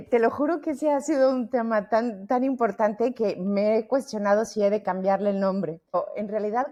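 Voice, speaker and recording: A middle-aged woman from Mexico with a Mexican accent, talking freely as a guest on a podcast, often restarting her sentences. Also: a little high. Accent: Mexican Spanish. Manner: lively, talkative, many restarts. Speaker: founder and guest. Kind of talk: podcast interview.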